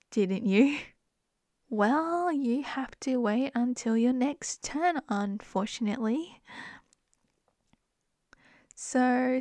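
A woman's voice: fairly high pitch, creaky, no room sound. A woman with an Australian accent in a close-mic ASMR roleplay as a wife, playful and giggly.

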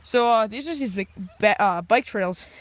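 A teenage boy vlogging casually while exploring outdoors and inside an empty house, excited and laughing, filmed on a handheld phone. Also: high voice, moderate pace. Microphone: handheld phone camera.

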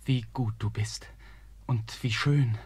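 A man's voice: mid-range voice, steady tone, slow, darkish tone, breathy edge, dry recording. An actor playing a brave, clever young prince in a radio play, earnest and tender in his speech.

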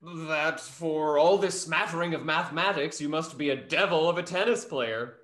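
An actor playing a dry, mocking, gossipy gentleman in a period stage comedy. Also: a little high, normal speed, natural voice. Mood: wry, mocking. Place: indoors at home on video call. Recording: roomy, echoing home call.

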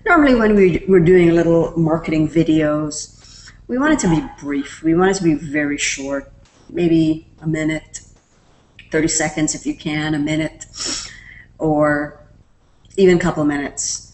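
Female tutorial presenter, low voice, easygoing step-by-step delivery, light background hiss. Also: unhurried, poor audio. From Canada. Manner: relaxed, step-by-step explaining with fillers. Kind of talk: screen-recorded software tutorial.

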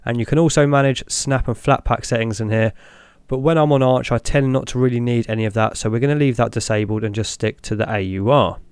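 A young man hosting a tech channel, talking through each step in a relaxed way as he clicks through an install on screen. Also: medium pitch, fast, smooth, no room sound. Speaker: tech video host. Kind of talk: screen-recorded walkthrough narration.

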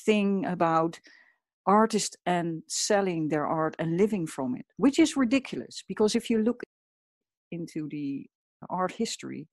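A woman's voice: fairly low pitch, expressive, measured pace, dry recording, studio quality. A woman from the Netherlands with a Dutch accent, talking earnestly and reflectively as a podcast guest. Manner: earnest, reflective, slightly non-native phrasing. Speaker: guest artist. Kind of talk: podcast interview.

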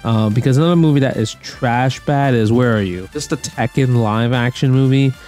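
A man's voice: medium pitch, moderate pace, a little mellow, soft background music. A man, around his thirties, giving casual opinionated commentary indoors with emphatic, repetitive, slangy phrasing. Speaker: guest commentator ranking movies. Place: indoor room at home.

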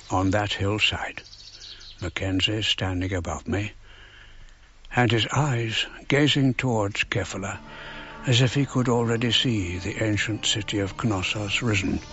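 An actor playing an old archaeologist narrating his memories in a measured, reflective way for a radio drama. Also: on the low side, fairly bright tone, lo-fi sound, a little hiss or hum. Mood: brooding, reflective. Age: older. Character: old English archaeologist recalling his past, brooding.

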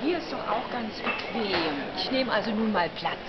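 A woman's voice: on the high side, normal speed, very noisy. A woman in her thirties presenting a hotel tour to a handheld camera in a busy restaurant and bar, in a polite, showy presenter tone. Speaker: vlogger giving a hotel tour. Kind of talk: chatty presenter narration to camera. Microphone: handheld camera mic. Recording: dull camera audio, loud crowd. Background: loud crowd chatter.

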